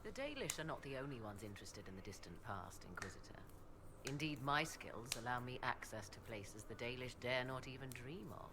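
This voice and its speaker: Fantasy game sorceress: proud, aloof, archaic diction, clear background noise. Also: female voice, a bass voice, animated, fairly quick. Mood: cool, knowing. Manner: formal, archaic, haughty phrasing. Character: aloof, proud woman apostate mage and mother.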